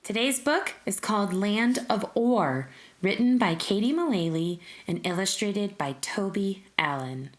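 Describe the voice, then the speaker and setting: Animated woman reading a children's storybook. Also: medium pitch. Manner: animated, deliberate, addressing young listeners. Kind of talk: expressive rhyming read-aloud for children.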